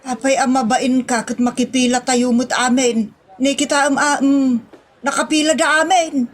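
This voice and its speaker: Woman acting scolding Ilocano wife, high voice. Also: level tone, moderate pace, slight background noise, lightly acted. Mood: exasperated. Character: exasperated, scolding wife in a rural family comedy. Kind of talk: Ilocano audio comedy drama acting.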